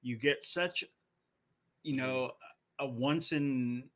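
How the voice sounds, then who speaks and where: Male co-host for a casual chat podcast, mid-range voice with a sharp, bright edge. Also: mid-range voice, takes it slowly.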